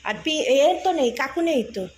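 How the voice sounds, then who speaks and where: Higher-voiced Bengali woman vlogging over noise. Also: expressive, normal speed. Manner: chatty, informal, talking on the go. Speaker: family daily vlogger. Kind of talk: casual vlog chat. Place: out and about in streets and shops. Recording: noisy handheld vlog audio.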